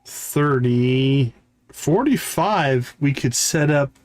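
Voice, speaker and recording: A man's voice: medium pitch, lively intonation, extremely slow, a little mellow, no echo. A man hosting a gaming livestream, thinking aloud in casual, chatty commentary as he plays.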